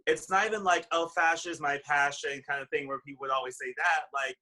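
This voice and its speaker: A man's voice: fairly high pitch, steady tone, fast, bright, forceful tone, poor audio. A man chatting casually as a guest on a video call, talking loosely with lots of fillers. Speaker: panel guest.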